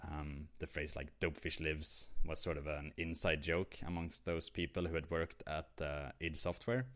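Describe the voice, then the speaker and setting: A man's voice: a bass voice, little pitch movement, normal speed, a bit bright. A young man with a Swedish accent, co-hosting a podcast in casual chat, rambling with many fillers and restarts. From Sweden. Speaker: podcast co-host.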